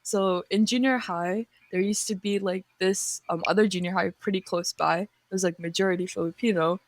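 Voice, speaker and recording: A young woman co-hosting a podcast, telling a story in a relaxed way with plenty of fillers. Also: mid-range voice, a little fast, no room sound. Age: young adult. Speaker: podcast co-host. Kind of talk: casual storytelling.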